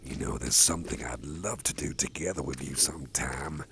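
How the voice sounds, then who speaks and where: A man doing a gravelly giant boss. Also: normal speed, heavy background noise. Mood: easygoing. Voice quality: deep gravelly voice. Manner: rambling, chatty, chuckles to himself. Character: huge intimidating male boss, gruff but protective. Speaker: boss character carrying the listener. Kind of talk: ASMR roleplay, personal attention.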